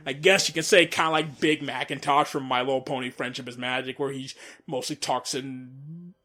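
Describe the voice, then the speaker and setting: A man giving casual, excited director's commentary, stammering and repeating words as he goes. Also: mid-range voice, moderate pace. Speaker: comic dub director giving audio commentary.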